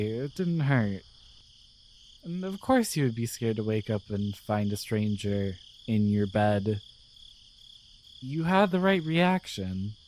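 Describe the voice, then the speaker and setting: A man playing a gentle, devoted guardian angel in a romantic ASMR roleplay, speaking in a tender, hesitant, reassuring way. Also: a little high, a little hiss or hum. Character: gentle, devoted male guardian angel in love with his human.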